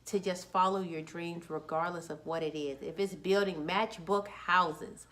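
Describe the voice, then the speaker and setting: A woman's voice: on the low side, fairly even intonation, a little slow, fairly bright tone. A woman in her forties, a children's book author, talking casually and upbeat to camera, a little nervous and restarting words. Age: 40s.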